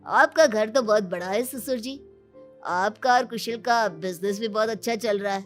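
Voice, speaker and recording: A woman's voice: mid-range voice, moderate pace, soft background music, lightly acted. A woman voicing an arrogant, greedy young son-in-law in a story, haughty and taunting.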